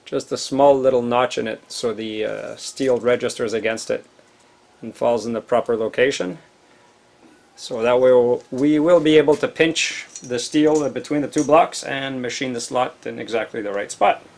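A middle-aged man with a gravelly voice, chatting casually as he helps out with machining work. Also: mid-range voice, moderate pace, darkish tone. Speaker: machinist friend helping. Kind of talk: casual workshop chat. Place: cluttered garage machine shop. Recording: noisy workshop ambience.

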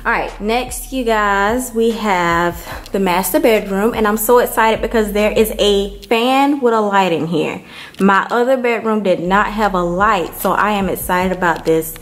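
A woman vlogger giving a casual, unhurried walkthrough of an empty apartment, filmed on a handheld camera. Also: mid-range voice. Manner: unhurried, chatty, many ums. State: hot and worn out from moving.

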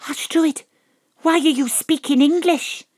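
A woman's voice: high-pitched, measured pace, a little mellow, no room sound, studio quality. A woman playing a wry, self-mocking private detective in an audio drama, narrating theatrically. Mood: urgent, excited. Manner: theatrical, relishing alliteration.

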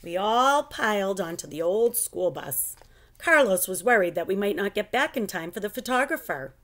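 A middle-aged woman reading a children's book aloud in an expressive, animated storytelling style at home. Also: mid-range voice, normal speed. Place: living room at home.

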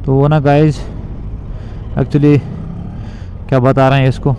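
A man's voice: medium pitch, flat delivery, slow, dark tone, dull audio. A young man vlogging casually while riding a motorbike through city traffic, talking loosely and repetitively to his viewers. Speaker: moto vlogger.

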